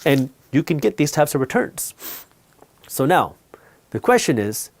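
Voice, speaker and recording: Male finance explainer, first language Punjabi, upper-middle pitch, blunt and emphatic delivery. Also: very animated, measured pace. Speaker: finance educator and host. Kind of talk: explainer talk to camera.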